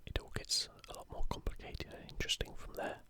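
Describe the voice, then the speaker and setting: An ASMR host speaking entirely in an unhurried, gentle whisper, presenting to viewers. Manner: whispering throughout. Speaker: video host.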